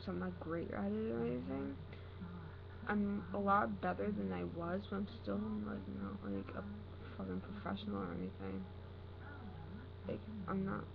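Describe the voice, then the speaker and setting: A young woman talking casually to her camera in an empty room, her voice hoarse and raspy, rambling with lots of fillers. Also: mid-range voice. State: hoarse from practicing screams. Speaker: vlogger. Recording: dull lo-fi recording with noise.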